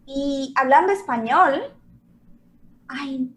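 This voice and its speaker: A woman's voice: high-pitched, slow pace, fairly bright tone. A Brazilian woman whose first language is Portuguese, a Spanish teacher speaking as a guest over a video call and searching for her words.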